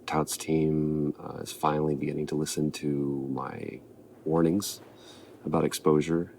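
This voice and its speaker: A man acting a weary, worried space-station medical officer in a video game, speaking a personal log haltingly in a gravelly voice. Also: very deep voice, a little hiss or hum. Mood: concerned, measured. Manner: halting, hesitant delivery.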